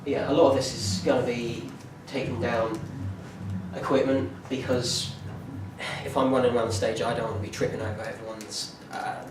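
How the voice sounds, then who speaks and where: A man's voice: medium pitch, flat delivery. Young man, echoey distant recording. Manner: halting, many fillers and restarts. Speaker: music student presenting a performance plan. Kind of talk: informal spoken presentation. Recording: echoey room from far off, noisy.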